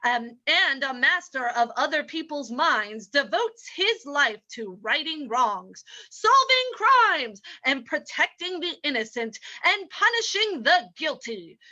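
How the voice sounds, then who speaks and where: A woman's voice: high voice, sing-song, takes it slowly, few pauses, pushed and bright, muffled recording. A woman announcer hosting and narrating an old-time radio show reading.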